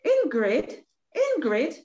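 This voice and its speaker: A woman's voice: sits high, huge pitch swings, slow, warm tone, muffled recording, exaggerated voice. Woman reading as inquisitive Caribbean little sister, roomy sound. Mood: troubled, questioning. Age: older. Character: inquisitive, indignant, tender-hearted younger sister in Barbados. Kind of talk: rehearsed play reading. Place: indoor room at home, on video call. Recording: roomy video call sound.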